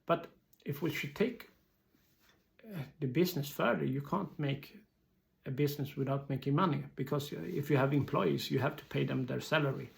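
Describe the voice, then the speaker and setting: A man with a Swedish accent giving an informal explanatory business talk in halting, hesitant non-native English phrasing. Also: fairly high pitch, creaky. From Sweden.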